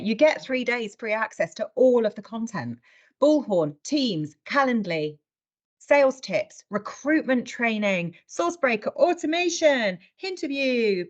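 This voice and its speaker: Woman, webinar host, medium pitch, chatty informal delivery, voices imagined remarks, dull lo-fi sound.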